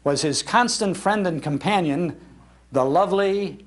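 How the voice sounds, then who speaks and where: An older American man with a Midwestern accent tells nostalgic stories to a live audience in a folksy, animated manner, repeating phrases for emphasis. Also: high voice, a little slow, noisy background. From Chicago, United States. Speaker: speaker giving a talk on old-time radio. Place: public library, live talk.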